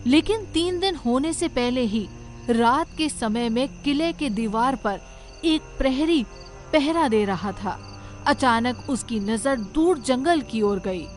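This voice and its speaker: A woman giving scripted, dramatic narration of an animated story. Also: high voice, slow pace, soft background music.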